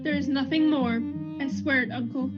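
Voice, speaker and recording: A high-pitched, guarded Puritan teenage girl acted by a woman speaks over clear plucked guitar. She is evasive and defiant, insistent in the old-fashioned manner of a period radio drama, on slightly dull audio. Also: steady tone, normal speed, natural voice. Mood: guarded denial. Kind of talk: period stage drama acting, radio play.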